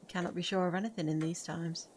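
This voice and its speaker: A woman playing a composed witch in an audio drama, with a measured, formal way of talking. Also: fairly low pitch, steady tone, darkish tone, natural voice. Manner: measured, formal, avoids contractions. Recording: faint background noise and ambience.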